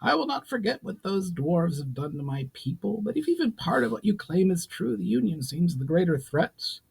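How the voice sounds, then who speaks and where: A man's voice: high-pitched, sing-song, normal speed, gravelly, darkish tone, husky, pitched above the performer's own voice, heavily acted, poor audio. A man voicing a suspicious, proud goblin leader in formal, challenging speech for a fantasy story. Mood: grudging.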